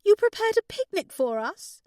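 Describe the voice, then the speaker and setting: A woman's voice: fairly high pitch, lively intonation, normal speed, darkish tone, no room sound, studio quality, pitched above the performer's own voice, close to an everyday voice. A woman voicing a fragile, anxious married woman in an audiobook, hesitant, emotional and vulnerable. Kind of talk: audiobook character dialogue.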